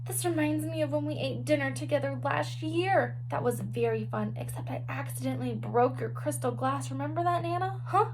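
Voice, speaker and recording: A woman voicing an excitable, blunt little kindergarten girl in a storybook, childish and exclamatory. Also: high-pitched, brisk, hardly any pauses, background noise, lightly acted. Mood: chatty, eager.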